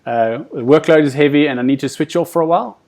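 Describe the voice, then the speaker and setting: A man's voice: fairly high pitch, quick pace, darkish tone. A man hosting an interview, asking long, encouraging questions in a conversational style. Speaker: interview host.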